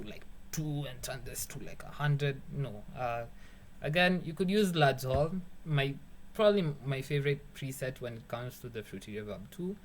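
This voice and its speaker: A young man with a Kenyan accent, a music producer giving a casual, rambling beat-making tutorial, joking and swearing, dropping Swahili phrases. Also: mid-range voice. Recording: faint background noise.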